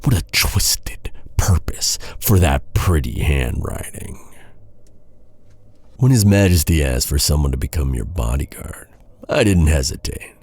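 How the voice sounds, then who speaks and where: Bitter knight, played by a man, fantasy audio drama: slow, brooding delivery with dramatic swings in pitch, gravelly, menacing. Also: expressive, a little mellow, exaggerated voice. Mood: bitter grief, then cold menace. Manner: taunting, brooding, dramatic with heavy sighs. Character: bitter vengeful male knight guarding a captive royal. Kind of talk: immersive dark fantasy ASMR roleplay acting.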